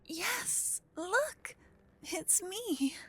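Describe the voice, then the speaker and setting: A woman's voice: extremely high pitch, lively intonation, very slow, fairly bright tone, pitched above the performer's own voice, full character voice. A woman playing a flamboyant, dramatic female royal tailor in a fantasy ASMR roleplay, bubbly and haughty. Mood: excited, calling out.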